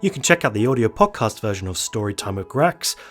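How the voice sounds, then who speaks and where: A man's voice: fairly low pitch, sing-song, brisk, a bit bright, faint music behind. A man speaking as the host of a horror storytelling channel, delivering a scripted sign-off to listeners.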